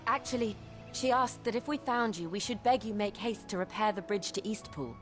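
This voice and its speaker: A woman's voice: mid-range voice, fairly quick, soft background music, close to an everyday voice. An actress playing a composed, courteous young noble lady in a fantasy video game, polite and well-spoken. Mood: kind, courteous.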